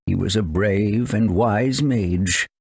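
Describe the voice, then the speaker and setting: A man voicing a fussy, caring elderly owl with a raspy voice and theatrical delivery in a video game. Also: lowish voice, slow, a little mellow, no room sound, exaggerated voice. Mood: grave, sorrowful. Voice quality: raspy, creaky.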